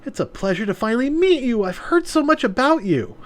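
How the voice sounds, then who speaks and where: A woman's voice: fairly high pitch, big pitch swings, a little fast, voice pushed up. A man voicing a proper, smug young wife who speaks in a sugary, politely gloating way.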